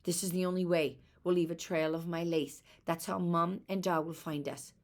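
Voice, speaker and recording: A woman's voice: on the low side, steady tone, normal speed, close to an everyday voice. Woman voicing a young girl, older sister, protective, earnest, urgent, reassuring, storybook character. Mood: comforting. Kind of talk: children's storybook read aloud.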